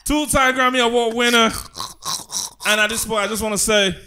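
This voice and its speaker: A man's voice: very high voice, steady tone, brisk, background noise. A man hosting a podcast, hyped and playful, teasing his co-hosts and introducing a guest. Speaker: podcast host. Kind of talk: casual podcast banter.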